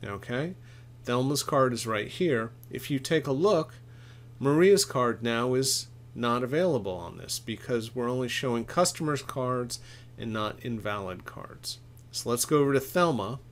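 A middle-aged man narrating a step-by-step software tutorial in an informal, explanatory way, thinking aloud as he goes. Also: mid-range voice, animated, a little slow. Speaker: laundry equipment expert, tutorial presenter.

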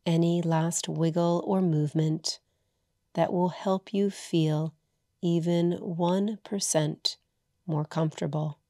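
A woman leading a guided meditation as a yoga nidra teacher, soothing and hushed, leaving long pauses between short phrases. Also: fairly low pitch, fairly even intonation, no echo, studio quality.

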